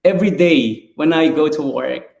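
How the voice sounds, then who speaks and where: A man who works at a mine site, giving a halting testimonial on a live webinar, with many fillers and nervous pauses. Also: on the high side, gravelly, darkish tone. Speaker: fly-in mine worker and business partner. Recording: echoey room.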